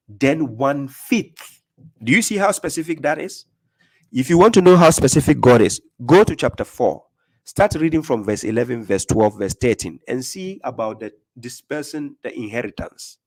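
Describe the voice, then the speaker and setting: A man with a Ghanaian accent teaches scripture in an informal, emphatic way. He repeats phrases and keeps asking listeners whether they follow. His voice sits at an ordinary pitch for a man. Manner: emphatic, repeats phrases, often asks "do you get my point?". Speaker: Islamic preacher.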